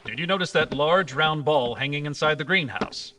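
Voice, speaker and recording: A man plays a brisk, authoritative adventure hero in old-time radio style. His voice is bright and forceful, and he explains things earnestly. It is a live stage recording with faint background noise. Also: on the high side. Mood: earnest explaining.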